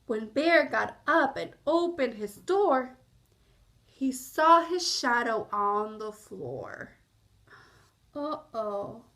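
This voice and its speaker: Female children's story reader, high and very animated, explanatory, unhurried. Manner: explanatory, asks listeners questions. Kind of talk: read-aloud storytelling for young children.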